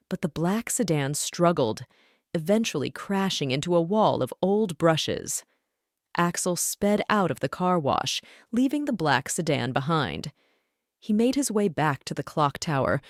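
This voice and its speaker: A woman's voice: deep voice, dry recording, studio quality. A woman narrating a scripted bedtime story for children as a storyteller.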